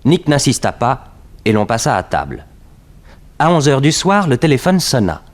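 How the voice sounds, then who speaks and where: Narrator of a vintage French detective radio serial, played by a male actor: medium pitch, highly animated, articulate storytelling. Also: normal speed, a little hiss or hum, lightly acted. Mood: measured storytelling. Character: detective storyteller recounting his uncle's adventures. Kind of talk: scripted narration in 1960s French radio drama.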